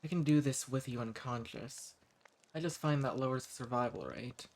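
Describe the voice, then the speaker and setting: A man's voice: medium pitch, lightly acted. A man playing a centuries-old vampire in an audio roleplay, speaking straight to the listener with condescending, taunting menace. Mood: taunting, commanding. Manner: condescending pet names, taunting, unhurried menace. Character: centuries-old sadistic male vampire, coolly mocking and possessive. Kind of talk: scripted audio roleplay spoken directly to the listener.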